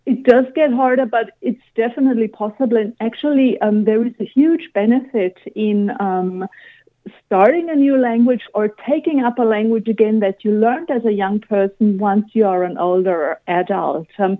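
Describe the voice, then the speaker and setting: A woman from Germany with a German accent, answering radio interview questions in a thoughtful, explanatory way with frequent pauses and ums. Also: medium pitch. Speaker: guest expert on language learning. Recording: phone-line interview audio.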